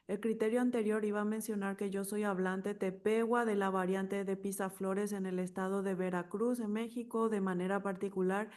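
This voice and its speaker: A woman moderating an online workshop on a video call, reading a participant's message aloud in a brisk, fluent way. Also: mid-range voice, darkish tone.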